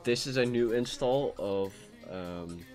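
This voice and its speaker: A man's voice: mid-range voice, takes it slowly. A Dutch man with a Dutch accent, chatting casually while live-streaming a game, rambling with many fillers and restarts into a cheap USB mic. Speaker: gaming streamer. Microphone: cheap USB microphone. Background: soft background music.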